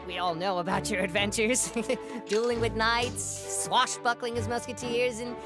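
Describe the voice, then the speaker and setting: A woman's voice: on the high side, steady tone, normal speed, music in the background, lightly acted. A woman voicing a small, polite, bumbling creature chief in a game, ceremonious and awkwardly apologetic. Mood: pleading, admiring. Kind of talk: animated video game cutscene acting.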